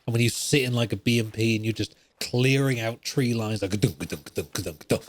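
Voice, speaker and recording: A man's voice: on the low side, fast, slight background noise. A man hosting a gaming podcast, talking in a casual, rambling, self-deprecating way as he thinks aloud.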